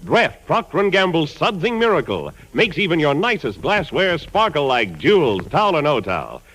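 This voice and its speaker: Animated vintage radio adman. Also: on the high side, moderate pace, rough recording. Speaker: commercial announcer. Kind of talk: 1940s radio advertisement. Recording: vintage 1940s radio broadcast. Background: music.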